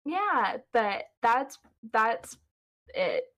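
A woman's voice: a little high, sing-song, very slow, a bit bright. A young woman in her twenties with a Californian American accent, chatting casually and laughing often. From California, USA. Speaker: guest. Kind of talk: casual chat.

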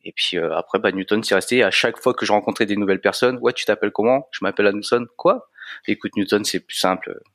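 A man in his late thirties from France, a fitness coach talking casually as an interview guest, relaxed and hesitant with many fillers. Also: lowish voice, fast talker, no room sound, studio quality. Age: 30s.

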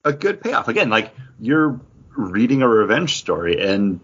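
A man's voice: medium pitch, very animated, a little slow, a bit bright, breathy edge. A man chatting casually on a podcast review, phrasing his thoughts in hesitant pieces. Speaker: podcast panelist. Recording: dull, lo-fi audio.

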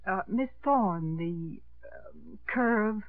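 A woman's voice: mid-range voice, animated, drawn-out words. An actress playing a proper, guarded widowed lady mayor, prim and a little hesitant, in 1950s American radio drama style. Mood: flustered, polite. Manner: prim, hesitant, coolly polite. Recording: tinny old radio broadcast, music behind.

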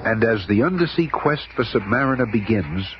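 An actor playing a suspenseful narrator of a radio serial, solemn, excited and dramatic. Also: male voice, fairly low pitch, moderate pace, close to an everyday voice. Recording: muffled, noisy old radio recording.

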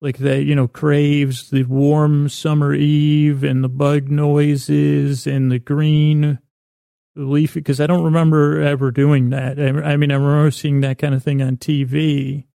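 Creaky, mellow man rambling softly through tangents. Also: fairly high pitch, little pitch movement, no room sound, studio quality. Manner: meandering, halting, stumbling over and repeating words; whimsical, self-deprecating, a little silly. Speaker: podcast host. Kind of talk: rambling bedtime podcast monologue.

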